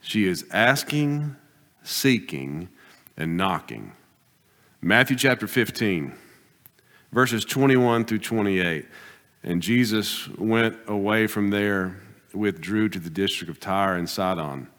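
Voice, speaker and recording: A man preaching a sermon as pastor, pressing his points with rising bursts and then dropping to quiet, deliberate asides. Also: lowish voice, animated. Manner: emphatic preaching, swings between loud exhortation and quiet asides. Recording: long hall reverb.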